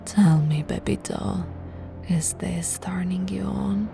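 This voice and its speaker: An ancient vampire noblewoman, acted by a woman for an ASMR roleplay, speaking at an unhurried, lingering pace. Her voice has a crackly edge and often drops to a breathy whisper, seductive and possessive, over faint music. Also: lowish voice, steady tone, full character voice. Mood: seductive, teasing. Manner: slow, teasing, breathy whispering with soft laughs.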